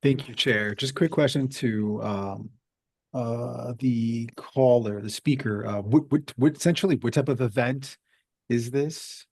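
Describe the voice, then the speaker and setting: Committee man, gravelly husky voice, dry, polite question. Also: mid-range voice, steady tone, unhurried, darkish tone, no echo. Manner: polite, hesitant. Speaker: committee member. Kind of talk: asking a question at a meeting.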